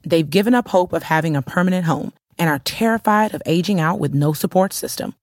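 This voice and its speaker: A woman's voice: lowish voice, no echo, clean studio sound. A woman reading an earnest public service ad. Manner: earnest, measured. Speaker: ad narrator.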